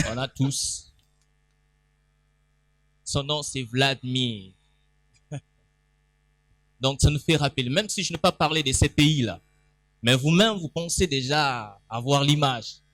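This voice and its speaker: A man's voice: mid-range voice, takes it slowly, pauses often, fairly bright tone, no echo. Enthusiastic male event moderator. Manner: enthusiastic, announces guests, calls for applause. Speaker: moderator and pastor. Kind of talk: event hosting. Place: event hall stage.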